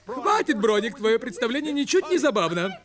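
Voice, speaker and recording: A man's voice: very high voice, big pitch swings, normal speed. A man voicing a fussy, earnest cartoon bird presenter with a theatrical, indignant manner. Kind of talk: exaggerated children's cartoon dubbing.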